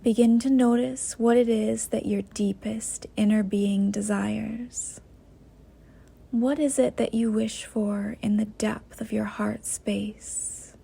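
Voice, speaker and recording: Woman doing slow guided meditation, soft breathy half whisper, pretty even pitch, gentle long pauses. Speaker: yoga nidra guide.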